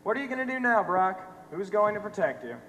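Young man acting a high-pitched, mocking stage villain. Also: sing-song, fast, fairly bright tone. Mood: taunting. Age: young adult. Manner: theatrical, mocking. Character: sardonic, taunting showman, cruelly mocking tormentor. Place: theater stage, filmed from the audience. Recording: echoey theater stage, noisy hum.

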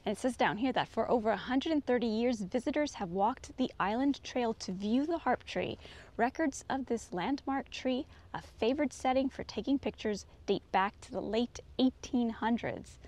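A woman's voice: fairly high pitch, moderate pace, darkish tone. A middle-aged woman vlogger casually narrating her walk along an outdoor forest trail, pointing things out as she goes. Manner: easygoing, descriptive, points things out as she walks. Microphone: handheld camera, often at a distance. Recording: faint outdoor noise.

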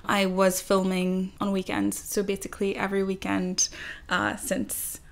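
A woman from Trinidad, a journalist in her thirties, explaining her research in an interview in earnest, measured sentences. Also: medium pitch, monotone, vocal fry. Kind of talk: interview guest, conversational.

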